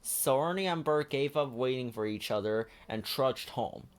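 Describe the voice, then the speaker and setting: A man narrating a children's picture book as an expressive read-aloud. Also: medium pitch, normal speed. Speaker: children's story reader. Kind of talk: expressive scripted storybook narration.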